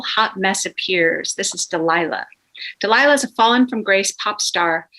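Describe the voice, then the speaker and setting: A woman's voice: mid-range voice, sharp tone, poor audio. A woman narrating a TV script's scene descriptions and stage directions at a table read.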